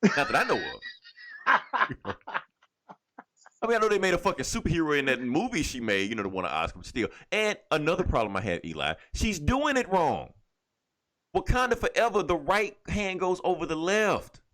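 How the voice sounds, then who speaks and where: Animated man co-hosting a podcast, swinging pitch. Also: moderate pace. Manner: profane, opinionated, animated rants. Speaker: podcast co-host. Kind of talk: casual comic book and video game chat.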